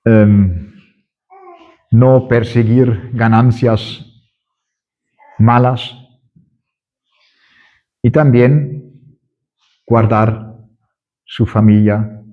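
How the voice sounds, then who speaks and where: A man preaching a sermon at a church conference in Spanish, which is not his first language, speaking slowly and haltingly with many fillers and restarts. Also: lowish voice, fairly even intonation. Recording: dull lo-fi recording.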